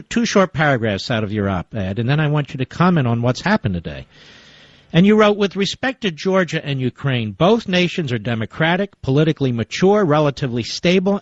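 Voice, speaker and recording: A man's voice: on the high side, no room sound. A man hosting a talk radio show, reading quotes aloud with sarcastic asides and interviewing a guest. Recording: dull lo-fi radio audio.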